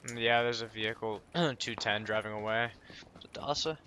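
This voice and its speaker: A man with a fairly low voice talks casually to teammates during a shooter game. His callouts are terse and profane, and there is clear game noise behind him. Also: normal speed, bright, forceful tone. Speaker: video game player.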